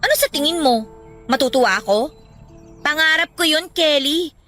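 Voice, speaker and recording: Very high-voiced, sarcastic resentful student. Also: female voice, expressive, normal speed, bright, forceful tone. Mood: defensive, sarcastic. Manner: sarcastic, confrontational. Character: defensive, resentful student, sarcastic best friend. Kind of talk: radio drama acting. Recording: music bed under the voice.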